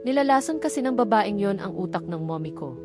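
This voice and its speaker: A woman's voice: mid-range voice, expressive, brisk. A woman with a Filipino accent reading a scripted romance story as narrator, dialogue included. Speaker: audiobook narrator. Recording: music bed behind the voice.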